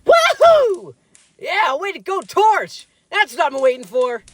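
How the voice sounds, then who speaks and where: Casting: young man for keen wartime sidekick in audio drama; boyish, high, very animated delivery. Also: slow pace, dry recording, exaggerated voice. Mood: excited. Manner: eager, boyish. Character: eager, loyal boyish young sidekick soldier.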